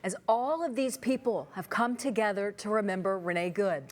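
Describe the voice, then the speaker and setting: An American woman anchors a TV newscast. She reads scripted news copy in a voice of average pitch for a woman. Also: normal speed. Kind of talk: scripted broadcast news narration.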